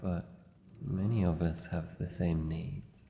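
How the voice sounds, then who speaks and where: Grumpy yet vulnerable living shadow played by a man, Australian, dark-toned and guarded, muffled reverberant recording, fantasy audio roleplay. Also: sits low, little pitch movement, vocal fry, exaggerated voice. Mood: guarded, softening. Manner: formal, halting, guarded speech. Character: wary, lonely living shadow monster, grumpy but vulnerable. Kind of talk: fantasy ASMR roleplay, slow deliberate delivery. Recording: muffled, long cavernous reverb, faint noise.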